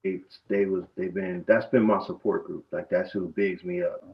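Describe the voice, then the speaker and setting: This is a man in his forties with a gravelly, fairly low voice. He tells his story loosely, starting over and repeating himself as he talks. The recording sounds dull and muffled. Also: steady tone, moderate pace. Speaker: barber guest. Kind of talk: casual interview talk.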